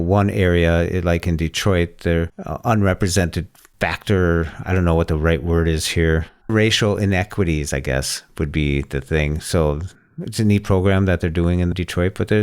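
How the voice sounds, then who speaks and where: A man hosting a casual tech discussion, talking in a halting way and often rephrasing as he explains. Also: low-pitched, darkish tone, clear, resonant tone. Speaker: podcast host.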